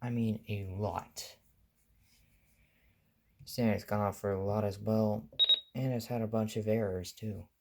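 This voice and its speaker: A man's voice: fairly low pitch, steady tone, a little slow, creaky, long pauses, heavy background noise. A man giving a casual, rambling show-and-tell as a hobbyist vlogger, offhand and full of detail.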